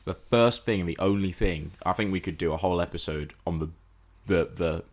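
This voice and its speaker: Male, deep voice. Thoughtful podcast co-host, casual but analytical. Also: moderate pace. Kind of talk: casual film and TV discussion.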